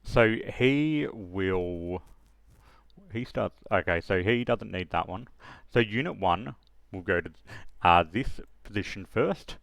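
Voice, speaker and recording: Low-voiced man, casual tutorial narration. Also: lively intonation, slow, creaky, a bit bright, no room sound. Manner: hesitant, thinking aloud step by step. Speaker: tutorial presenter. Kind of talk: casual screen-recorded software tutorial. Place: close dry voice-over of a screen recording.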